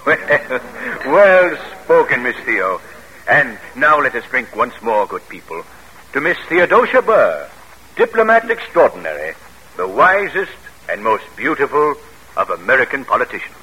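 Husky, proud old-time radio general. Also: male voice, medium pitch, big pitch swings, measured pace, pushed and bright, full character voice, rough recording. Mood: gallant. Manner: formal, courtly, theatrical. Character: proud, easily provoked gentleman general. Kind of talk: 1940s radio acting. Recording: vintage radio broadcast, rough and worn.

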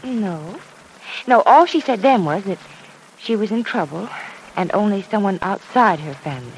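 A woman's voice: mid-range voice, expressive, moderate pace, lightly acted. An actress playing a teasing, good-natured young woman in an old-time radio drama, talking in a candid, conversational way. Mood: earnest, explaining. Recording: noisy vintage radio broadcast recording.

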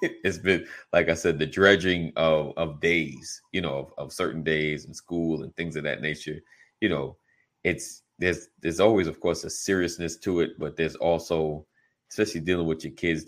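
A man's voice: rumbling low, creaky, a bit bright. A middle-aged man co-hosting a talk show, chatting casually, laughing often and agreeing with "right". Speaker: podcast co-host. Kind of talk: casual chat.

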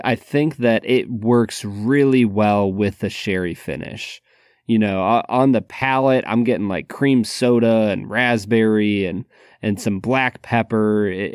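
A man's voice: lowish voice, dry recording. A man co-hosting a podcast in casual chat, with a dry, sarcastic humor and a habit of repeating words as he starts a thought. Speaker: podcast co-host.

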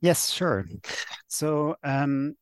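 A man's voice: on the high side, extremely slow, clear, resonant tone, no room sound. A man in his thirties from Austria, a native German speaker, answering interview questions thoughtfully with many fillers and restarts. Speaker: guest author, sociologist.

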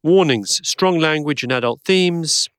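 A man with a croaky voice hosting a podcast, introducing his guest from a studio with dry self-deprecating humor. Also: on the high side, measured pace, a bit bright, dry recording. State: croaky voice from illness. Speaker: podcast host.

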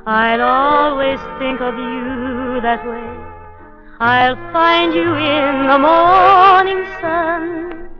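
A woman's voice: high-pitched, extremely slow, over loud music. A woman singing a sentimental ballad over musical accompaniment. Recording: muffled old recording.

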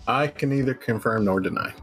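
A man's voice: medium pitch, brisk, a bit bright, breathy edge, faint music behind. A man in casual, joking banter as a guest reader on a stream.

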